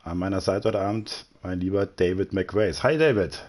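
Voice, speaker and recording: Man, low voice, race commentator, chatty, joking. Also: normal speed, a little mellow. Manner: chatty, joking, scanning standings aloud. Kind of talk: live sim racing commentary.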